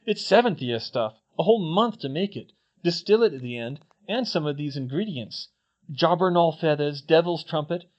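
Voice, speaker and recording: A man's voice: on the high side, moderate pace, voice pushed up, close to an everyday voice. A man doing a cheeky teenage wizard twin. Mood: teasing, amazed. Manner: teasing, animated banter. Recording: dull lo-fi recording.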